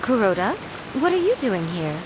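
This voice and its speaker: A woman's voice: mid-range voice, big pitch swings, normal speed, background noise, close to an everyday voice. A woman voicing a poised, sly and imperious shrine maiden spirit in a video game, with a teasing, commanding manner. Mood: surprised. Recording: music behind the voice.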